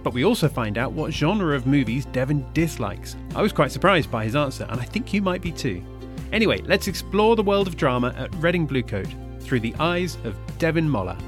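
Upbeat male host, lively intonation, slightly high voice, soft music underneath. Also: fairly quick. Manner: upbeat, clear presenter delivery. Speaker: podcast host. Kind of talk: scripted intro then interview. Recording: music bed under intro.

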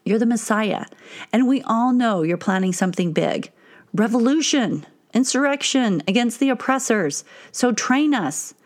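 A woman's voice: a little high, lively intonation, moderate pace. A woman voicing an eager, hotheaded male disciple who talks in a pushy, insistent way.

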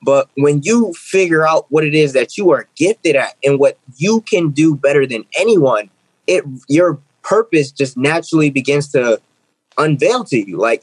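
An earnest young man in his twenties talks through his ideas in an interview. He often restarts and repeats phrases as he builds toward a point. His voice is close and dry, with a middling pitch. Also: husky, no room sound, low-quality recording. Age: 20s. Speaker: guest, author and speaker. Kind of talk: interview conversation.

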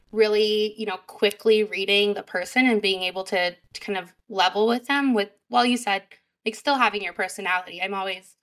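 A woman guest on a podcast, high energy and chatty, with a fairly high voice and lots of fillers. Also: fairly bright tone. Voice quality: higher pitched voice. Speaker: sales representative guest. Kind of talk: casual podcast interview.

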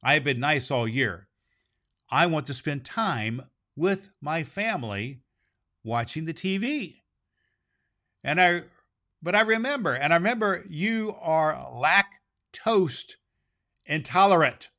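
A man playing a jolly Santa Claus, reading and hosting for children with theatrical, drawn-out phrasing. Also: on the high side, lively intonation, bright, forceful tone, no echo, clean studio sound, full character voice. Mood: playful. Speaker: host and story reader.

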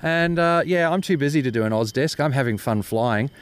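Australian man, mid-range pitch, jokey, upbeat, casual outdoor report, balloon pilot. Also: a little fast. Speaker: balloon pilot and podcast correspondent. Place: open balloon basket in flight, outdoors.

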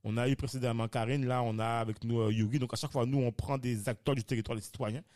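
Guy in his 30s hosting a podcast, mid voice, keeps stumbling and restarting, pretty animated. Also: medium pitch, fast talker. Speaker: podcast host. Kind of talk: casual discussion.

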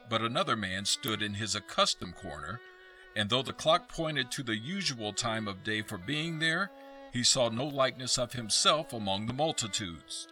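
A man's voice: medium pitch, pushed and bright. A man narrating a scripted radio play as a dramatic storyteller. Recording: distant voice with fiddle music behind.

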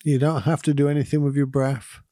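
Mellow man gently guiding a meditation. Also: a little high, no room sound, clean studio sound. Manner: calm, soothing, unhurried with long pauses. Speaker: meditation guide and podcast host. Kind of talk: guided meditation, gentle instruction.

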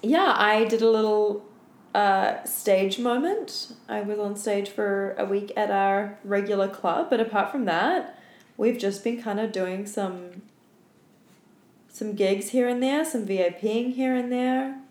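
An echoey room carries the voice of a tired woman in casual podcast chat. She is playful and giggly, swears freely, and speaks at an average female pitch as she tells stories to her friend. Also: a little slow. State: tired after a night without sleep. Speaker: podcast co-host. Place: indoor room at home.

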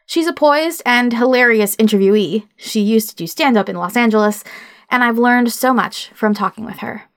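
A woman hosts an interview podcast in a fairly high-pitched voice. There is marked creak in it, a clear vocal fry as she presents and asks questions. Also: normal speed. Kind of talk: hosted intro and interview.

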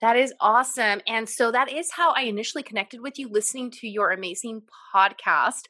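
A woman's voice: fairly high pitch, fairly quick, sharp tone, no echo, clean studio sound. A woman from California's Central Valley hosting a podcast interview, asking questions in an upbeat, encouraging way. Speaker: podcast host. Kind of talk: casual interview chat.

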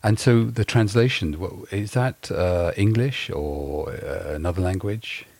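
Very low, husky man as interviewer, polite and halting. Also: darkish tone, no echo. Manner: polite, halting questions with restarts. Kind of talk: casual interview questions.

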